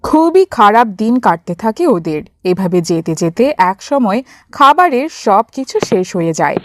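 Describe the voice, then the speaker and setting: A woman narrating a scripted cartoon story in an expressive storytelling style. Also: medium pitch, unhurried, no echo, studio quality.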